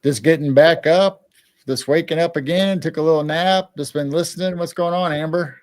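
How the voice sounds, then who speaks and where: A man's voice: on the high side, steady tone, moderate pace, no echo. A man co-hosting a casual live-stream chat, rambling with frequent restarts. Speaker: co-host.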